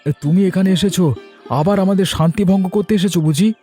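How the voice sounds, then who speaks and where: An actor playing a wronged but forgiving young village fish farmer in a cartoon, emphatic and plain-spoken. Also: male voice, high voice, steady tone, quick pace, warm tone, soft background music, close to an everyday voice. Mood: indignant. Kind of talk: Bengali moral-tale cartoon dialogue.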